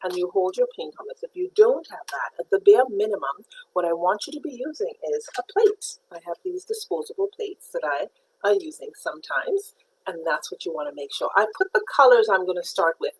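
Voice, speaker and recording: A woman's voice: lowish voice, big pitch swings, brisk, a little hiss or hum, poor audio. A middle-aged woman from Trinidad teaching a live painting lesson, explaining supplies step by step and greeting viewers by name. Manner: patient, step-by-step instruction. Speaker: art teacher.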